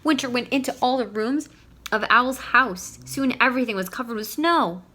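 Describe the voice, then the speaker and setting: A woman's voice: fairly high pitch, moderate pace, bright, forceful tone. A woman reading a storybook aloud to young children in a teacherly way, putting questions to her listeners.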